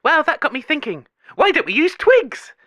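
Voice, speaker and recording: A man's voice: very high-pitched, big pitch swings, moderate pace, pushed and bright, dry recording, voice pushed up, exaggerated voice. A man voicing a dreamy, daft woman caller in a comedy radio sketch. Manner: airy, starry-eyed.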